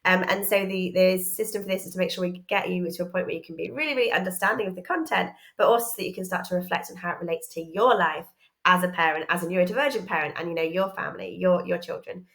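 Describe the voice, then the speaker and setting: A woman's voice: fairly low pitch, fast talker, a bit bright. A woman presenting an informal course introduction, explaining things piece by piece with hesitant asides. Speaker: educational psychologist and course presenter.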